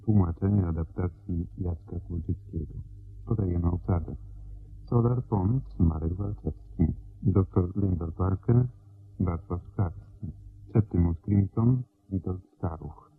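A man's voice: low-pitched, a little slow. A man announcing the title and cast of a radio play in a scripted, formal credits read. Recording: dull radio recording, faint noise and hum.

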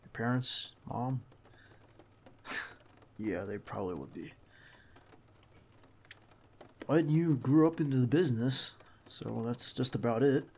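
A man playing a protective, reassuring bodyguard in an intimate ASMR roleplay, speaking in a hushed, halting, gravelly voice close to the listener. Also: medium pitch, expressive. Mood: confiding. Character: protective young male bodyguard, calm and reassuring.

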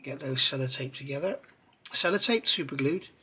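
A man's voice: medium pitch, normal speed, husky. A middle-aged man explaining a scale model build at his workbench, chatty and rambling as he works. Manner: relaxed, rambling, talks while working with his hands. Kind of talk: hands-on tutorial with chatty asides. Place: indoor hobby workbench. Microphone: overhead camera on workbench.

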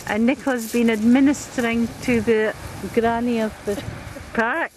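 A woman's voice: on the high side, steady tone, normal speed. A woman filming her family at the seaside, chatting and laughing her way through a casual home-video commentary. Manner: chatty, amused, laughing often. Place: pebble beach by the sea, outdoors. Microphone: handheld camcorder mic. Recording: camcorder with wind and surf noise.

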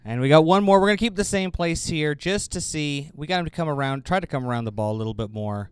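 A man's voice: a little high, quick pace, clear, resonant tone. A man co-hosting a casual product review, commenting and bantering in a joking way. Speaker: host of bowling ball reviews.

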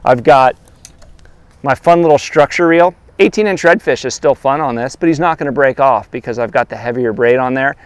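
A man in his thirties, a fishing guide, showing his gear outdoors by a lake and talking straight to the camera in a relaxed, practical way. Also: medium pitch, fairly quick, smooth, dry recording. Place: outdoors by a lakeshore. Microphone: lapel mic.

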